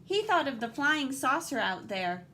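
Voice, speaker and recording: A woman reading a picture book aloud like a teacher, animated and dramatic, with guiding asides to young listeners. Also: sits high, measured pace, a little hiss or hum.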